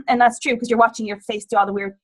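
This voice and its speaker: Friendly woman with a high voice on a video call sharing tips. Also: fairly even intonation, quick pace. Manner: friendly, clears throat, practical tips. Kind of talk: casual advice.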